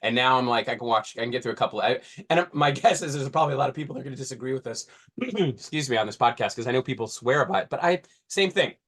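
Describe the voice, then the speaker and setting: A man's voice: mid-range voice, lively intonation, quick pace, fairly bright tone. A man in his forties co-hosting a podcast in casual discussion, rambling and often restarting mid-thought. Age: 40s. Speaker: podcast co-host.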